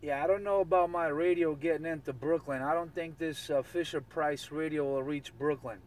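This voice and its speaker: A man talks casually over CB radio, circling back on his phrases. His voice is a little high and sounds set back from the mic, with faint noise behind it. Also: normal speed. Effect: CB radio transmission. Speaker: CB radio operator. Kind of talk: casual chat with repetitions. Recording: distant CB radio with light noise.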